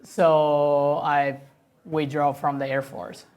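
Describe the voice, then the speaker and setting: A woman with an Italian accent and a notably low voice chats in a relaxed interview. She laughs easily and slips into little acted-out quotes of what other people said. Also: takes it slowly, clear, resonant tone. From Italy. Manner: chatty, laughs often, acts out quoted dialogue. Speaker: professional sailor guest. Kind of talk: casual interview conversation.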